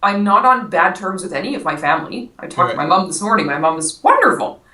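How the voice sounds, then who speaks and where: A woman's voice: lowish voice, very animated, fast, pushed and bright. A woman being interviewed as a guest, chatting casually and explaining ideas with simple everyday examples. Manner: friendly, explains with simple examples, laughs easily. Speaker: guest, Akashic records consultant. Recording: echoey room, far from mic.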